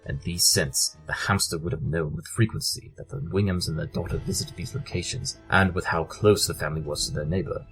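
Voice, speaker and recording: Theatrical, low-pitched detective pony, acted by a man. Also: low-quality recording. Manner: theatrical, formal, deliberate exposition. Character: brilliant, excitable male detective pony, giddy over puzzles. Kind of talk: scripted audio drama acting.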